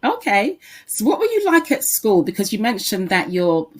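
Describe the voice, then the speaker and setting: A woman's voice: mid-range voice, wildly swooping pitch, normal speed. A woman hosting a podcast, introducing her guest enthusiastically and asking about her background. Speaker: podcast host.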